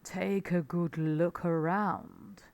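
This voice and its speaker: Woman playing a slow-talking, confident father fox. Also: fairly low pitch, fairly even intonation, gravelly, darkish tone, full character voice. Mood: assured. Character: confident, commanding father fox, adult male.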